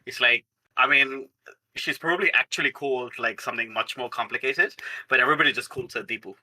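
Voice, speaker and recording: Guy playing a tabletop rpg, really sharp bright voice, chatty, working out ideas out loud. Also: mid-range voice, brisk, rough recording. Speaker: tabletop game player. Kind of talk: casual chat, thinking aloud.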